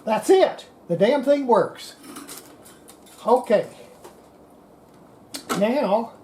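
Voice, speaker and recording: A woman's voice: fairly high pitch, animated, very slow, warm tone, a little hiss or hum. A woman hosting a repair video, talking casually to herself as she works, exasperated and mildly swearing. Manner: exasperated, mutters to self, mild swearing. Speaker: host of a radio repair video. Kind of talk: casual talk while working at a repair bench.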